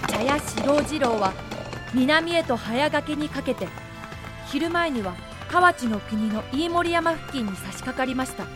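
A woman's voice: sits high, lively intonation, unhurried, music in the background. A woman giving polite, explanatory scripted narration for a historical audio drama.

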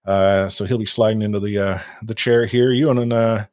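A man hosts a casual podcast, talking into a podcast mic. His voice sits a bit low, and he rambles with frequent fillers, restarts and self-deprecating asides. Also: fairly even intonation, moderate pace, darkish tone, no echo, studio quality. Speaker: podcast host.

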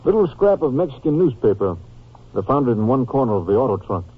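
An actor playing a serious, methodical American police captain in a 1950s radio drama, briefing in a matter-of-fact way. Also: male voice, mid-range voice, very animated, fast talker, slightly rough. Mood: businesslike. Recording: muffled, narrow-band old radio recording.

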